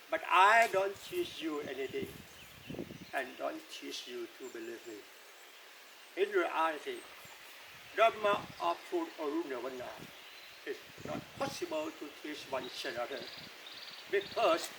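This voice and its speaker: Thai-accented man, gravelly, distant and noisy. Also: a little high. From Thailand. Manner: earnest, emphatic, repeats key phrases. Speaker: Buddhist Dharma teacher. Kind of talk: slow deliberate Dharma talk.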